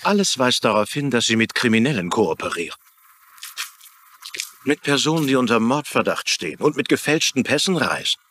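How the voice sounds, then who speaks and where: A man's voice: medium pitch, big pitch swings, moderate pace, a bit bright, slight background noise. An actor playing a stern, suspicious male police commissioner in a TV crime drama, formal and admonishing. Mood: accusing. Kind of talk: German-dubbed TV crime drama acting.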